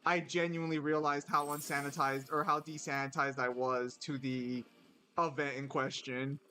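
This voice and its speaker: A man from the United States streaming a game and chatting casually with friends, animated and swearing freely. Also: medium pitch, moderate pace, soft background music. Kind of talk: casual gaming stream chat.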